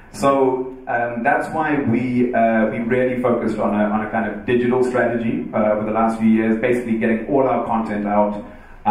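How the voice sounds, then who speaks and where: A man in his thirties from Zimbabwe answers audience questions on stage in a relaxed, joking way, with many fillers. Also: on the low side. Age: 30s. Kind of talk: casual talk and Q&A on stage. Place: large dark event hall with audience. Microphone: handheld mic on stage, heard from afar. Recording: echoey hall, distant mic.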